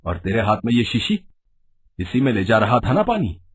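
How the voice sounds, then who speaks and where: A man playing an authoritative, principled villager in an animated storybook tale, with a husky voice. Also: fairly low pitch, moderate pace. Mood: accusing, stern. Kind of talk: animated storybook character acting.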